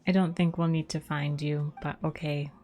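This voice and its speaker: A woman's voice: low-pitched, flat delivery, moderate pace. A woman game streamer chatting casually on a live stream and reacting to the game, laid-back and chatty.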